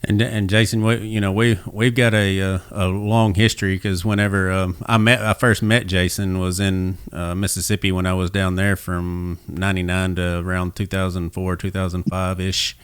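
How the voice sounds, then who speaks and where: A man's voice: low voice, little pitch movement, normal speed, hardly any pauses, clear, resonant tone, no room sound. A man hosting a podcast in casual chat, often repeating his first words as he starts a sentence. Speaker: podcast host.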